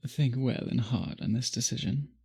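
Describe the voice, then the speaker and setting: A man playing a possessive, doting male vampire in an intimate ASMR roleplay, speaking formally in a husky, breathy murmur that often drops to a whisper. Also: mid-range voice, moderate pace, warm tone. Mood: apologetic, doting.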